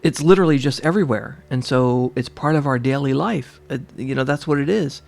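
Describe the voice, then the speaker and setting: A man giving a spiritual reading, a little stuffy and congested, with a slightly rough throat, rambling through fillers and restarts. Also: mid-range voice, brisk, darkish tone. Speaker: tarot reader. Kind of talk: casual spiritual talk to camera.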